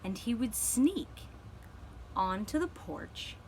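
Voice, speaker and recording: Female children's storyteller, gentle and deliberate, lilting over a wide range, with slight background noise. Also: mid-range voice, sing-song, low-quality recording. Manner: slow, deliberate, dramatic phrasing with pauses. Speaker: storyteller and puppeteer.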